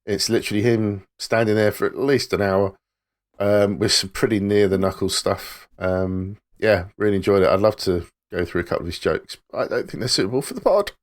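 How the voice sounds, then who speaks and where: A man's voice: fairly low pitch, fairly quick. A man co-hosting a podcast, recommending a show and a documentary in an easygoing, enthusiastic way. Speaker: podcast co-host.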